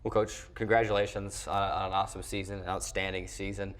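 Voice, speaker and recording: An American man with a lowish voice hosts a sports program. He talks like a play-by-play announcer in a conversational interview, moving between chat and presenting. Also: flat delivery, a little fast, a bit bright. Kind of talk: conversational sports show hosting.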